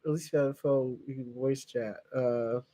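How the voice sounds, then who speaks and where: A man's voice: mid-range voice, steady tone, normal speed, dark tone. A man hosting a channel, chatting casually and chummily with fans on a livestream in a rambling way. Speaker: host of a fan series channel answering questions.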